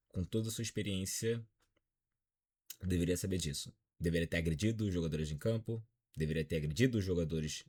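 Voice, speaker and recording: A man's voice: deep voice, darkish tone, husky. A Brazilian man in his twenties with a Brazilian accent, giving a dejected, frank football opinion vlog. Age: 20s. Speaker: football commentator.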